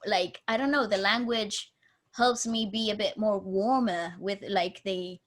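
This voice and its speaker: A woman's voice: mid-range voice, measured pace. A woman from Venezuela, a native Spanish speaker, co-hosting a podcast in English and often reacting with exclamations. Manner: animated, exclaims a lot. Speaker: podcast co-host. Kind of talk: casual podcast chat.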